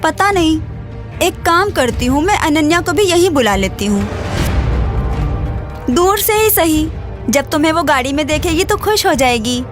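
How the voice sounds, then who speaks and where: Very high-pitched, nosy young woman, acted out by a woman. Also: expressive, moderate pace, pauses often, over music. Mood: suspicious, teasing. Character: pushy, playful young woman, wife's nosy friend. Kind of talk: Hindi audio serial acting.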